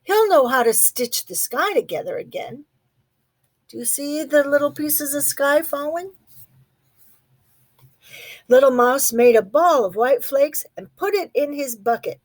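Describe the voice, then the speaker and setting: A woman reading a children's storybook aloud expressively, pausing to put questions to young listeners. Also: high voice, animated. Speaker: storybook reader. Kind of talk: children's read-aloud narration.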